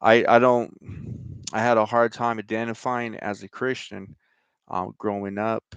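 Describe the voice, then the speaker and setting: A man's voice: fairly low pitch, level tone, measured pace, smooth, dry recording, muffled recording. A middle-aged man from Denver with an American accent, reading scripture and talking informally about himself as host of his show. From Denver, Colorado, United States. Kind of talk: scripture reading and personal talk.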